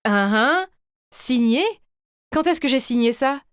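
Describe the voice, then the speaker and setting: A woman reading a scripted first-person story in a theatrical, expressive way, like a teenage girl telling her own drama. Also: sits high, slow pace, clean tone, no echo, studio quality.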